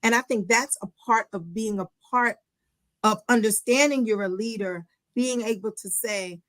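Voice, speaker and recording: A woman life coach talking as a guest, expressive and forthright, with a strong personality. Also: a little high, normal speed, no echo, poor audio. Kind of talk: casual faith conversation.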